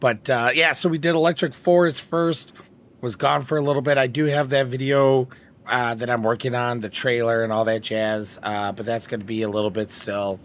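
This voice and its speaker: A man's voice, hoarse and raspy from recovery, chats loosely to a live audience. He rambles from one aside to the next in an easygoing streamer manner. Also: medium pitch, fairly even intonation, moderate pace. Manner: theatrical greeting, then rambling chatty asides. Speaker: streamer host. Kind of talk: casual live-stream chat.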